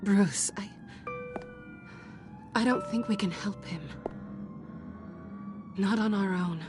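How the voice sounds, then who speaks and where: A woman's voice: mid-range voice, takes it slowly, lightly acted. An actress playing a wry, independent, street-smart young American woman, blunt and guarded, in a video game drama. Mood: alarmed. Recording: music behind the voice. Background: music.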